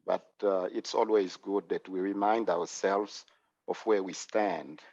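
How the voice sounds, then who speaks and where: A man with a Congolese accent, a clergyman giving a measured presentation over a video call, with many "uh" and "um" fillers. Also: low-pitched, fairly even intonation, darkish tone, dry recording. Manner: measured, with frequent "uh" and "um". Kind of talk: formal online presentation. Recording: dull, dry video call audio.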